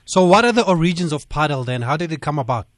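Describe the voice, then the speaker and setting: A man hosting a radio interview, introducing his guest and asking measured questions. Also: fairly high pitch, expressive, no echo, studio quality. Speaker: radio host.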